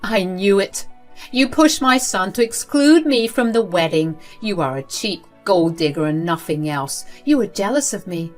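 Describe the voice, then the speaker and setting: Woman acting out a entitled middle-aged mother-in-law, spiteful, accusatory, melodramatic storytime villain, low-key music behind. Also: lively intonation, normal speed, close to an everyday voice. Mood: spiteful, accusing.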